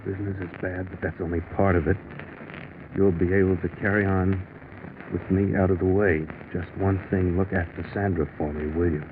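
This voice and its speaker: A man's voice: deep voice, flat delivery, normal speed, narrow, tinny audio, natural voice. An actor playing a shaken, urgent businessman in love with his partner's wife, in 1940s radio mystery style. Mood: grave reading. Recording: scratchy band-limited 1940s radio recording.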